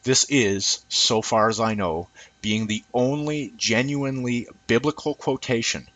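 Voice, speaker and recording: A man reading an opinion essay aloud in an emphatic, sardonic, deliberate way. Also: mid-range voice, a bit bright, dry recording. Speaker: reader of an essay.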